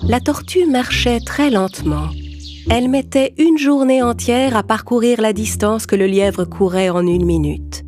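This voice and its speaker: A woman's voice: a little high, unhurried. A woman narrating a scripted fable for children as a storyteller. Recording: clear music bed behind narration.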